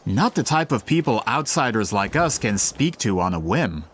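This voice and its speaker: A man's voice: lowish voice, sing-song, moderate pace, fairly bright tone, slight background noise. A man voicing a polite, worried book publisher in a video game, courteous and formal. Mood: fretful.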